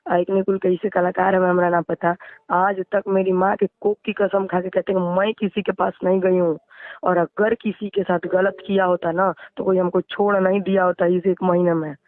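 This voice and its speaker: A woman's voice: lowish voice, little pitch movement, normal speed, no echo. A woman with a Bhojpuri accent arguing heatedly on the phone, indignant and insistent, often repeating her phrases. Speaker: singer. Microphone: phone line. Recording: tinny phone call recording.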